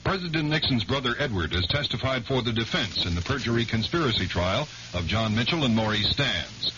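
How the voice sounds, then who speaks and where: Male American network anchor, sharp scripted delivery, dull vintage broadcast with slight noise. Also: mid-range voice, animated, brisk. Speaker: network radio news anchor. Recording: muffled old radio, faint noise.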